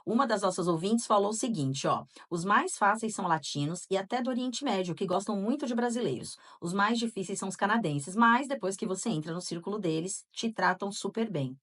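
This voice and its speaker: A woman's voice: medium pitch, brisk, hardly any pauses, fairly bright tone. A Brazilian woman co-hosting a casual podcast, chatting and reading out listener answers, with frequent tag questions. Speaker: podcast co-host.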